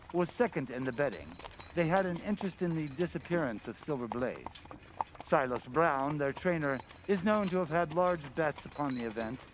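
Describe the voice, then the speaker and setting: A man playing an earnest, methodical police inspector in an old-time radio drama, giving formal, deliberate reports. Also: on the high side, close to an everyday voice. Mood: matter-of-fact. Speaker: community theatre actor. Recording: clear background noise.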